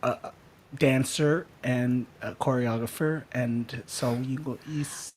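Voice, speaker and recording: Halting man hosting a casual livestream. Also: mid-range voice, fairly even intonation, a little mellow, slight background noise. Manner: halting, searching for words. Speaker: podcast host.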